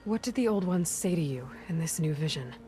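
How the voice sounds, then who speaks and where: A woman's voice: deep voice, expressive, a little fast. A young woman playing a self-assured, wary warrior heroine in a video game, direct and dry in delivery. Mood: probing. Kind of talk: naturalistic video game acting.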